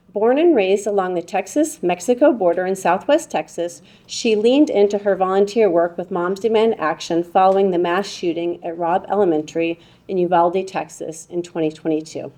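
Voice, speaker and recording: A woman moderating a conference panel, opening with loose remarks full of ums before introducing the first speaker. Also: medium pitch. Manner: warm-up remarks with frequent ums.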